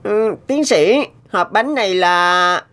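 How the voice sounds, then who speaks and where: A woman's voice: mid-range voice, animated, slow, fairly bright tone. A woman voicing a polite, earnest young servant boy in a cartoon. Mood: timid hope. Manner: polite, eager. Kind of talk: animated cartoon dialogue. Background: music.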